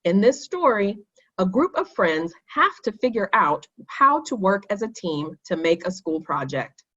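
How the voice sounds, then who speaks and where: Lady doing a kids read along, really lively pitch, teachery phrasing, bit muffled sound. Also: measured pace. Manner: clear, instructive phrasing with questions to young listeners. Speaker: storyteller reading a children's book aloud. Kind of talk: expressive read-aloud for children.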